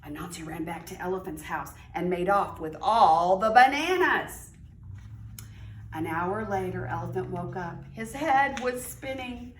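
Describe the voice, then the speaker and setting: A woman reading a children's story aloud in an expressive way, with dramatic pauses and drawn-out key words. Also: on the low side. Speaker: library storyteller reading a picture book to children. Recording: roomy, distant mic with faint noise.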